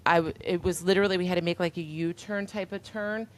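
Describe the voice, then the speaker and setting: Chatty, lively woman podcast host. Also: lowish voice, quick pace, dry recording. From Florida. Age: 40s. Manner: chatty, animated storyteller, laughs often. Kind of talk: casual interview chat.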